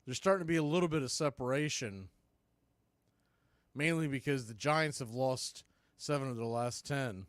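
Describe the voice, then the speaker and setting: A man's voice: mid-range voice, normal speed, long pauses, no echo. A man working as a baseball announcer, giving live play-by-play commentary mixed with score updates in clipped phrases.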